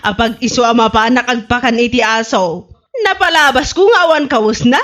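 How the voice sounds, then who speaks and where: Woman playing a high-voiced gossipy village wife. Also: a little fast, fairly bright tone, natural voice. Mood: amused. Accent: Filipino, Ilocano. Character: amused gossipy village wife, approving of the prank. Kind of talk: comedy radio drama acting.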